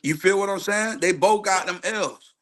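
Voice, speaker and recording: A man's voice: high-pitched, normal speed, a bit bright, a little hiss or hum. A man speaking as a coach in a casual sports debate, emphatic and slangy, repeating phrases as he makes his case. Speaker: youth football coach, show guest. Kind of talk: casual, argumentative sports chat.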